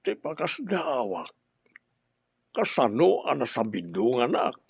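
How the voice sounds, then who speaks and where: Man acting a husky, ailing, troubled man. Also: mid-range voice, big pitch swings, slow pace, no room sound, dull audio, heavily acted. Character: worried, suspicious man recovering from poisoning. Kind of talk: audio drama acting.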